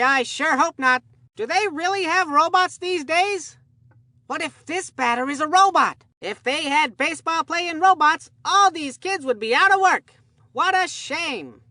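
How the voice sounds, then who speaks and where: An actor voicing an excitable, absent-minded kids' baseball game commentator who rambles in an earnest, folksy way. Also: high-pitched, no room sound, clean studio sound, heavily acted. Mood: musing, rambling. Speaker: sports commentator. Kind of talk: cartoon video game sports commentary.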